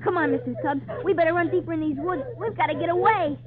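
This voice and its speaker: A woman's voice: extremely high pitch, fast, a crowd in the background. A woman playing an earnest, plucky runaway boy with folksy, breathless speech in an old-time radio drama. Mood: frightened. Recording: tinny, hissy 1940s radio recording.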